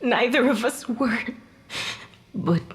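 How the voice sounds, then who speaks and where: A woman's voice: fairly high pitch, lively intonation, slow pace. A woman acting in an audio drama as the bitter, distraught ghost of a dead mother, defensive and volatile. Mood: bitter anguish. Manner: anguished, defensive, emotionally volatile. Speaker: actor in an audio fiction podcast. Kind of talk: full-cast audio drama dialogue.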